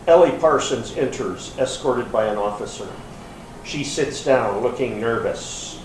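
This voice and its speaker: A man with a mid-pitched voice narrates scripted stage directions. He is far from the mic in an echoey room. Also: expressive, a little slow. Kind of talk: scripted narration in readers theater. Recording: echoey hall, distant mic, faint noise.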